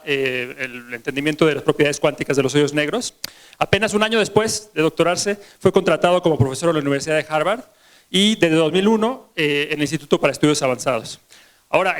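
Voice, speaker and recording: A man's voice: high-pitched, fairly bright tone. A man giving a formal introduction of a guest speaker in an auditorium, admiring, with frequent "eh" fillers. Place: university auditorium.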